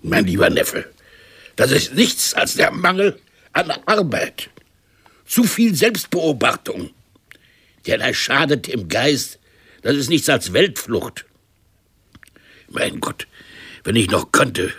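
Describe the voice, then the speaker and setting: An actor playing an ailing, scornful and impatient uncle of eighty in a radio drama, grumbling and firing sarcastic questions. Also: male voice, mid-range voice, very animated, slow, long pauses, fairly bright tone, slightly rough, exaggerated voice. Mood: weary, irritable. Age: older.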